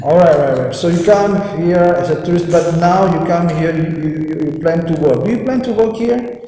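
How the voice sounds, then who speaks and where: Mellow-voiced Indonesian man, echoey room. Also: fairly high pitch, a little fast. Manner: frequent short agreements, repeats himself. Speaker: teacher and host. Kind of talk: casual chat. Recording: echoey room, faint noise.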